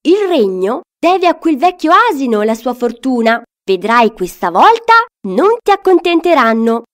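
A fairy godmother from a storybook, as a woman narrator plays her. She instructs emphatically, scheming and encouraging. Her pitch is high and very animated. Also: measured pace. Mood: determined.

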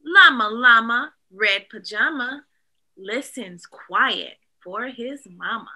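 A woman in an expressive, animated read-aloud style, speaking directly to young children as a teacher would. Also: a little high, takes it slowly. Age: adult.

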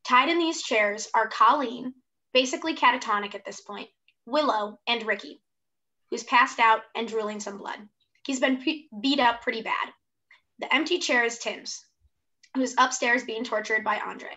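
Bright-voiced young woman reading stage directions. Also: a little high, moderate pace, poor audio. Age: 20s. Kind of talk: scripted narration of a play. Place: room at home, indoors. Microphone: video call.

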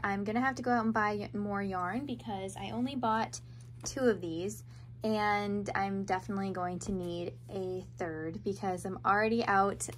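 A woman's voice: mid-range voice, fairly bright tone, slight background noise. A young woman with a stuffy, congested nose from a cold, chatting through a crochet tutorial in an upbeat, casual way. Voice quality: nasal, congested. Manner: chatty, upbeat, talks over her hands-on work.

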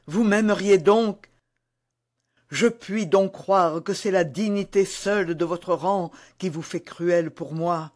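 A man's voice: a little high, darkish tone. A man reading the part of a passionate, melancholy young duke in love, ardent and pleading, in a historical romance audiobook.

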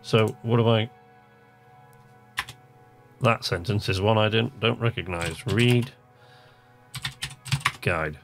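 A man with a fairly low voice chats casually as he plays a game. He muses to himself and reads text aloud in an easily amused manner, with music playing clearly behind him. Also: takes it slowly, long pauses. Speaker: gamer, video host. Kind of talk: casual gameplay commentary, reading text aloud.

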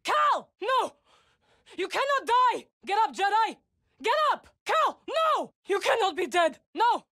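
A woman's voice: very high voice, very animated, slow, pushed and bright, dry recording, clean studio sound, heavily acted. An actress voicing a proud young witch warrior in a video game, speaking in short, formal, commanding lines. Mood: desperate, urgent. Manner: formal, clipped, commanding phrasing. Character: fierce young witch warrior, proud, dry, loyal ally.

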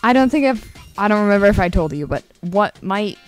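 A woman's voice: medium pitch, animated, a little fast, soft background music. A young woman chatting casually on a drawing livestream, rambling hesitantly with frequent swearing and self-deprecation. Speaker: streamer. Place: indoors at a computer, screen-share livestream.

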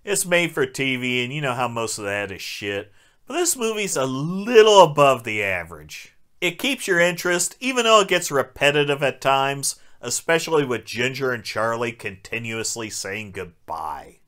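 A man's voice: mid-range voice, big pitch swings, moderate pace, fairly bright tone. A man narrating a sarcastic scripted film review in an irreverent, wisecracking, profane manner. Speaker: movie reviewer.

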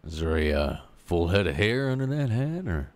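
A low-pitched, flirtatious biker, voiced by a man in a game role-play. He hands out suggestive compliments with coy little hesitations. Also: sing-song, moderate pace, over a noisy crowd. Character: smooth, flirtatious male biker, admiring and coy.

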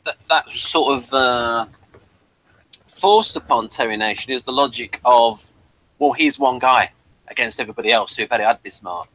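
Bright-voiced man hosting a casual review. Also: medium pitch, moderate pace, dry recording, low-quality recording. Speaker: host of a review show. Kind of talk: casual video-call review chat. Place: small cluttered room at home. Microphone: headset on a video call.

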